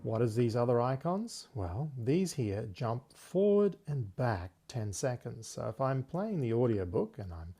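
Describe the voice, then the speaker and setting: A man hosting a software tutorial, explaining things step by step in a conversational way. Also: medium pitch, sing-song, measured pace, few pauses, husky, soft background music. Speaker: host of tech tutorial series.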